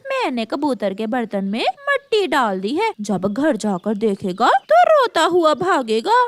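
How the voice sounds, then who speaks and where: Woman doing a shrill, greedy cartoon crow Gori. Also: huge pitch swings, slow pace, dry recording, heavily acted. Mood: mischievous. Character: greedy, mischievous female crow Gori, falsely sweet. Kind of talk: Hindi moral-story cartoon acting.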